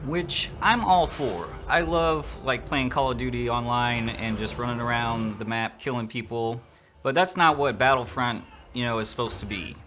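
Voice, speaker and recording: A man's voice: medium pitch, normal speed. A man narrating an opinionated video game review in an informal, slangy, sarcastic way.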